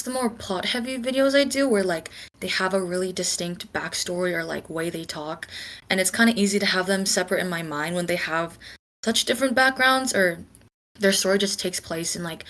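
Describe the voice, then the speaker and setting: A woman's voice: medium pitch, fairly bright tone. A woman on a podcast panel chatting casually and tentatively, thinking aloud as she answers. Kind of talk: casual chat.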